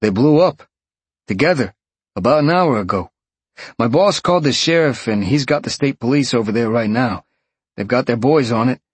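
A man's voice: medium pitch, lively intonation, normal speed, breathy edge, no room sound, pitched above the performer's own voice. A man voicing a concerned, paternal police detective in an audiobook, official and matter-of-fact.

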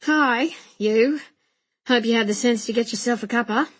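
Woman voicing a blunt, brisk coachman. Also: fairly high pitch, slightly rough. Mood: cheery greeting. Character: rough, cheery young Australian coachman, fatherly and blunt.

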